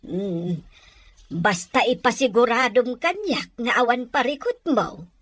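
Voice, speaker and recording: A woman's voice: fairly high pitch, expressive, a little slow, fairly bright tone, dry recording. A woman with a Filipino Ilocano accent playing a caring, concerned middle-aged village mother in an audio drama. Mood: insistent.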